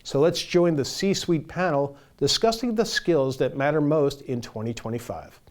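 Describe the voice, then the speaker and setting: A man's voice: on the high side, normal speed. Male talk show host, conversational. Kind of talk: studio interview and show links.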